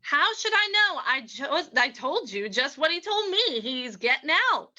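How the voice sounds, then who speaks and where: A woman's voice: high-pitched, sing-song, a little slow, sharp tone, highs cut off, full character voice. Woman acting a sultry, scheming radio-play femme fatale. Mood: flirtatious, coy. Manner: flirtatious, mocking. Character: sultry, scheming, ruthless female poisoner in a crime radio play. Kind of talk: old-time radio drama acting.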